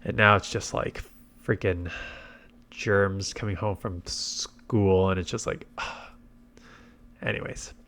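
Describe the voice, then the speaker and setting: A man's voice: deep voice, steady tone, slow pace, long pauses, fairly bright tone. A man congested with a head cold gives casual gameplay commentary, thinking aloud through his tactics. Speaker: game commentator and video host.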